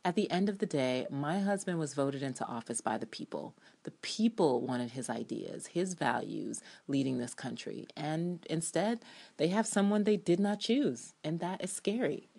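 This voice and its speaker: A grave widow of a politician , played by a woman reading aloud, delivers a public statement. Her voice is fairly low and her speechmaking is measured and solemn, in the manner of a televised address. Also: animated, creaky, mellow, breathy edge, exaggerated voice. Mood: solemn, aggrieved.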